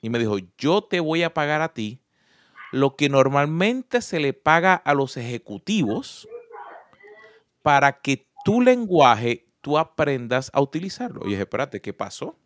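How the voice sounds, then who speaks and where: A man's voice: fairly high pitch, sing-song, a little slow, no room sound. Earnest Puerto Rican man, dry sound. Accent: Puerto Rican Spanish. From Puerto Rico. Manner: earnest, storytelling with personal examples. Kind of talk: reflective conversational talk.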